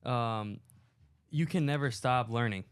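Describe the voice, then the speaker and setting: A man's voice: medium pitch, unhurried, clean tone, dry recording. A young man hosting a casual podcast in a recording studio, talking loosely with lots of fillers and slang into a headset mic. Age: young adult. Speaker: podcast host.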